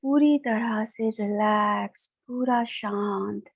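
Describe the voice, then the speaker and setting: A woman with an Indian accent leads a guided meditation in a calm, soothing manner, leaving long pauses. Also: medium pitch, vocal fry. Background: background music.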